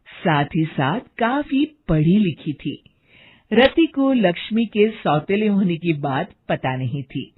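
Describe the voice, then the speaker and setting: A woman's voice: medium pitch, breathy edge, narrow, tinny audio. A woman narrator reading a scripted cartoon story in storybook style.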